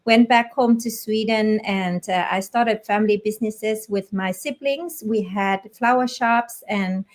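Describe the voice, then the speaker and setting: A woman's voice: medium pitch, unhurried. A middle-aged woman from Vietnam casually sharing her personal story as a guest on a live video call. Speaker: guest, business coach and part-time teacher.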